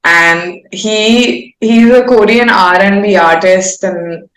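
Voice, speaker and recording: A woman's voice: medium pitch, slow, a bit bright. A woman, talking candidly and effusively in a casual, informal interview style. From India. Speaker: singer-songwriter guest. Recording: roomy, echoing sound.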